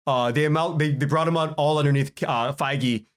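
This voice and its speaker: A man with a slightly high voice chats as a podcast guest. He tells anecdotes in an animated, conversational way, slipping into quick quoted exchanges as he goes. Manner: animated storytelling, acts out quoted exchanges, chuckles often. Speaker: guest, audio drama writer and director. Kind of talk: casual podcast interview, anecdotal.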